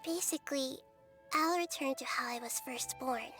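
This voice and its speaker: Soft, girlish little goddess. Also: sits high. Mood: calm resolve. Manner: measured, composed, explanatory. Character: gentle, childlike little goddess, wise and calmly selfless. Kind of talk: video game voice acting. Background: music.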